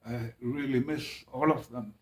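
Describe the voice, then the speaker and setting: A man speaking in a halting, hesitant way, with restarts and long pauses, in a personal monologue. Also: mid-range voice, steady tone. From Greenland. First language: Danish.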